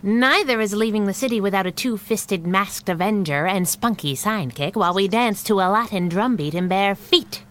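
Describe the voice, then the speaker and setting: An actress playing a spunky, teasing, suspicious young wife in a 1930s-style radio serial, breezy and wisecracking. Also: female voice, medium pitch, moderate pace, few pauses, a bit bright, a little hiss or hum, natural voice. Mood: teasing suspicion.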